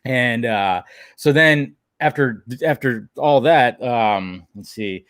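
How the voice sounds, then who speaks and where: Male podcast co-host, mid-range pitch, informal rambling recap style with swearing and quoted bits, very dry recording. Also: slow, fairly bright tone, no room sound. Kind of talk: casual chat, episode recap.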